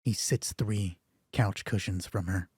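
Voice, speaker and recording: A man narrating a dramatic roleplay story in a hushed, deliberate way, with pauses between phrases. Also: low-pitched, fairly even intonation, husky, dry recording, studio quality.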